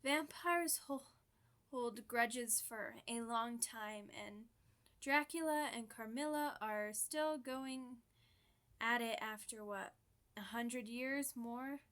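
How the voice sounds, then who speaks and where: A woman's voice: on the high side, slow, fairly bright tone, clean tone. A woman voicing a chatty, playful, theatrical old vampire in an ASMR roleplay, full of mock-grand asides. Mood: gossipy.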